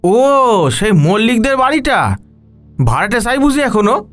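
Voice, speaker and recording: A man's voice: very high-pitched, big pitch swings, a little slow, a bit bright, faint music behind, exaggerated voice. A man playing an uneasy, deferential village rickshaw puller with rustic, colloquial speech in an audio horror story. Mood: wary, curious. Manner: colloquial rustic speech, addresses listener respectfully.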